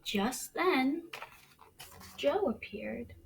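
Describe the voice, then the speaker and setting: Slow, high-voiced woman reading to children. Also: animated, gravelly, slight background noise. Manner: deliberate, dramatic pauses, questions to young listeners. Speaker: teacher reading a picture book aloud. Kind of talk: expressive read-aloud for children.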